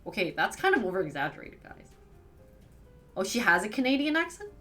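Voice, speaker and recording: A woman with a Wisconsin accent from the United States, chatting casually with viewers as a live streamer and firing quick questions back at them. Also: mid-range voice, big pitch swings, pushed and bright, soft background music. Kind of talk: casual chat answering viewers.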